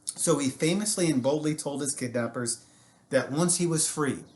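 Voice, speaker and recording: An American man, a teacher, tells a history story to students in an animated, casual way with jokey asides. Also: on the high side, moderate pace.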